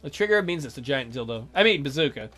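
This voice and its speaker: A man hosting a let's play, reading a visual novel aloud with expressive, wisecracking commentary. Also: medium pitch, fast. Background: music.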